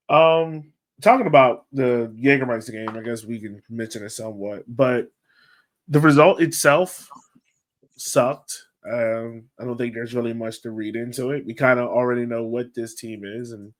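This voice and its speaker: A man with a medium-pitched voice chats casually as the co-host of a sports podcast. His speech is informal and colloquial, like relaxed fan talk. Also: a little slow.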